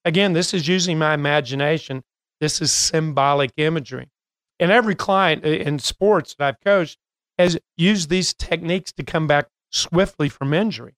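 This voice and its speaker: An older man hosting a coaching podcast, talking casually with many filler pauses. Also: a little high, fairly bright tone, no room sound. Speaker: host and coach.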